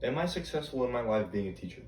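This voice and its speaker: A man's voice: medium pitch, lively intonation, quick pace, darkish tone, natural voice. An American man playing an earnest motivational teacher, giving students a rambling, emphatic, colloquial monologue. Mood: earnest, reflective. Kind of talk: self-written monologue, motivational speech. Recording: echoey room, distant mic, background noise.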